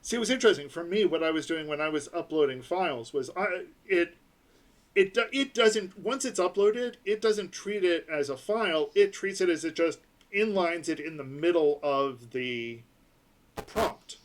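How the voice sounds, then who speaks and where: A man co-hosting a casual tech podcast, explaining things step by step and slowing down on numbers. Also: a little high, animated. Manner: explanatory, breaks numbers into slow pieces. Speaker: podcast co-host.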